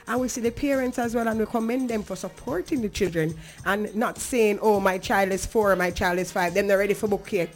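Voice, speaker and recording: A woman's voice: mid-range voice, fairly quick, few pauses, soft background music. A woman with a Jamaican accent talking earnestly and conversationally as a talk show host, emphatic and now and then slipping into patois.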